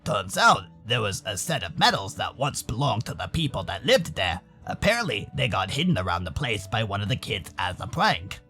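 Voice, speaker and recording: A man's voice: on the low side, big pitch swings, normal speed, few pauses, sharp tone, faint music behind, pitched below the performer's own voice, exaggerated voice. An American man voicing a laid-back furry animal character who hesitantly explains things to his friends in a visual novel. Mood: explaining.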